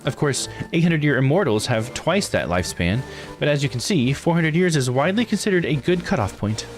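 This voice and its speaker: A man's voice: a little high, sing-song, a little fast, faint music behind, voice pushed up. A man voicing an earnest, knowledgeable expert who formally explains local lore in a visual novel game. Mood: earnest, cautionary. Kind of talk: visual novel dialogue read aloud.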